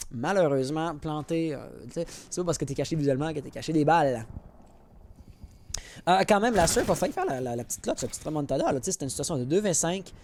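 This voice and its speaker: Male esports caster, Quebec French accent, slightly high voice, quick and colloquial, game noise behind him. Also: lively intonation. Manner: informal, colloquial, peppered with English gaming slang. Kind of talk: live match commentary with casual asides to chat. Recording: clear background noise with clicks and gunfire. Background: video game gunfire and clicks.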